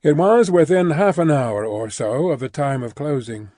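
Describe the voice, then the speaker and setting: A male narrator reads literary prose in a measured, careful way. His pitch sits somewhat high for a man. The recording is close and dry, with no sense of a room. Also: warm tone, no echo. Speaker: audiobook narrator.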